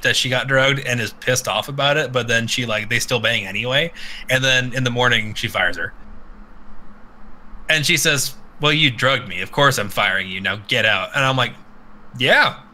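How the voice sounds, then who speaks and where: A man hosting a casual live podcast, rambling and swearing freely as he gives animated opinions. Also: medium pitch, low-quality recording. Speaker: podcast host. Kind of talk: casual opinionated live chat about games.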